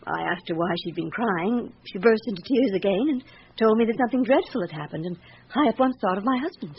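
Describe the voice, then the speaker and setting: Radio-drama wife female actor. Lively intonation, sharp-tongued, touchy and superior; faintly noisy vintage sound. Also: medium pitch, fast, close to an everyday voice. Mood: agitated. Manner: sharp-tongued, indignant, haughty. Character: haughty, brittle wife and mother, suspicious and easily affronted. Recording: dull, noisy old radio recording.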